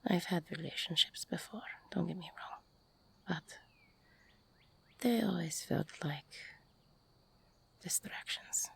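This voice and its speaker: Woman acting a gravelly, tender ex-con girlfriend. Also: lowish voice, a bit bright, full character voice. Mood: tender, confessional. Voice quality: husky, gravelly, breathy. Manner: hesitant, slow, half-whispered confiding. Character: older mobster-turned-bartender ex-con girlfriend, tender, teasing, insecure. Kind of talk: intimate romantic audio roleplay monologue.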